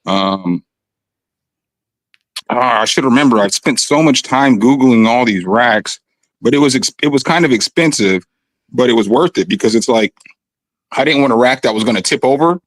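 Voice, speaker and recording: A man with a raspy voice chatting casually and loosely from his home studio, full of slang and fillers. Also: medium pitch, animated, normal speed, long pauses, dry recording, low-quality recording. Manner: laid-back, slangy, rambling with fillers. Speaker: guest musician on a video call. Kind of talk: casual chat. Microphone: condenser studio mic.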